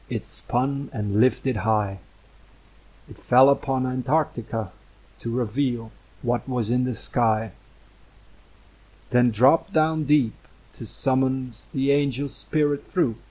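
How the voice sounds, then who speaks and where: A man's voice: mid-range voice, warm tone, low-quality recording. A man reading a poem aloud as a recitation. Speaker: poem reader. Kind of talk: poetry recitation.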